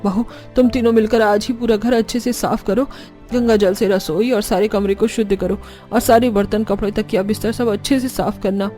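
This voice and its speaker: Woman acting a bossy, low-pitched mother-in-law. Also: steady tone, normal speed, warm tone, soft background music, natural voice. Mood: stern, disgusted. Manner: commanding, orders the household about. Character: stern bossy middle-aged Indian mother-in-law.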